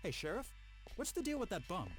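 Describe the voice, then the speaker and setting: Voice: medium pitch, big pitch swings, fairly quick, close to an everyday voice. An actor voicing a cringing, rule-bound small-town deputy in a video game, with music behind. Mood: petty.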